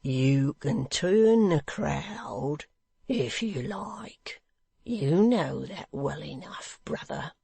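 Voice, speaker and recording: Man doing a scowling old hangman, drawling, hushed, wheedling, dry recording. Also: steady tone, husky, no echo. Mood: menacing. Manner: slow, low, wheedling, sometimes whispered. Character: sly, scowling old male hangman, low and menacing.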